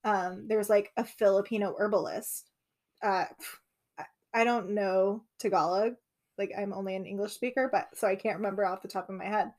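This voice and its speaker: A young woman co-hosting a casual talk, chatty and easygoing. She fills her sentences with "like" and "um" as she thinks aloud, speaking at a middling pitch. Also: steady tone, moderate pace, darkish tone. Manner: chatty, lots of "like" fillers. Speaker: podcast co-host. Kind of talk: casual conversation.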